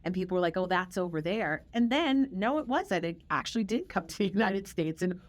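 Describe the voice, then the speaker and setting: Low-voiced woman host, reflective storyteller. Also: lively intonation, fairly quick. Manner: reflective storytelling, warm emphatic repetition. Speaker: podcast host. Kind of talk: casual conversational interview.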